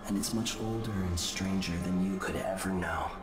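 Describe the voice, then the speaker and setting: An actor playing a cryptic, aloof otherworldly spirit in a video game, speaking deliberately and ominously. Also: male voice, low voice, fairly even intonation, lightly acted. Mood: ominous, detached. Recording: echoing reverb, music underneath.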